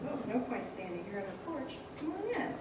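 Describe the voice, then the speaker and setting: A woman's voice: mid-range voice, sing-song, quick pace, lightly acted. An actress playing a direct, teasing young woman in an old-time radio play. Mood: gentle probing. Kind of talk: old-time radio drama stage acting. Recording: echoey theater, distant mic, noisy.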